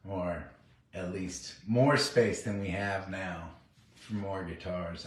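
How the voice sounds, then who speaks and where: A middle-aged man with a raspy, worn voice, chatting casually and rambling a little to viewers between songs during a livestream. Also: low-pitched, distant mic. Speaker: musician hosting a livestream. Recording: very echoey room with loud guitar. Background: acoustic guitar playing.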